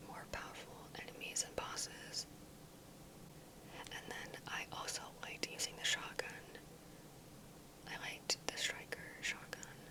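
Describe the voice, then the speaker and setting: A woman speaking entirely in a soft, breathy whisper, giving a measured, reflective ASMR talk as a gaming video creator. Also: low-quality recording.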